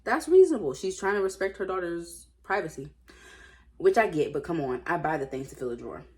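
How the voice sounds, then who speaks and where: Casting: woman with a lowish voice, informal read-aloud host, animated and slangy, chatty commentary. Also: brisk. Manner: playful, animated reactions, slangy. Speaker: host reading Reddit stories.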